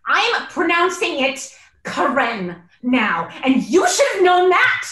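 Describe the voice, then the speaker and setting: A woman voicing an entitled, indignant, demanding customer for an animated sitcom table read. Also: high-pitched, slow, roomy sound, exaggerated voice.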